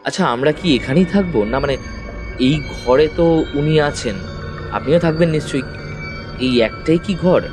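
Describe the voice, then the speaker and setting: A man's voice: on the high side, normal speed, long pauses, music in the background, natural voice. A man playing a polite, practical young man who placates others in an audio horror story.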